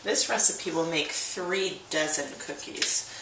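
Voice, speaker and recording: A woman's voice: low-pitched, takes it slowly, a little hiss or hum. A middle-aged mother helping her daughter bake, coaching her step by step in a casual way. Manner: coaching, gently directing. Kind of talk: casual kitchen chat. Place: home kitchen. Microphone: camera across the kitchen. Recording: echoey kitchen, distant from camera.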